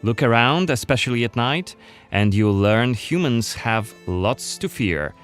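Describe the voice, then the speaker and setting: A man's voice: medium pitch, animated, a little slow, smooth. A man presenting a game in scripted voice-over narration. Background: faint music.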